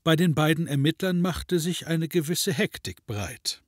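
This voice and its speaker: A man narrating a scripted comedy audio drama in a measured, mock-dramatic way. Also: fairly high pitch, animated, no room sound.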